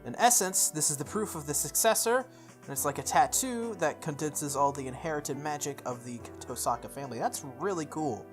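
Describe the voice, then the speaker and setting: Visual novel playthrough host, medium pitch, narrating on-screen text with offhand informal commentary, music underneath. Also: brisk. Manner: informal, slips into offhand asides. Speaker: video game streamer doing a playthrough. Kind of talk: reading visual novel text aloud with casual side comments.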